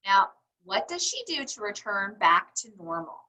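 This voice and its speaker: A woman reading a storybook to young children, encouraging them and pausing for answers to her questions. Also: medium pitch, very animated, sharp tone, poor audio. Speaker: read-aloud teacher for young children.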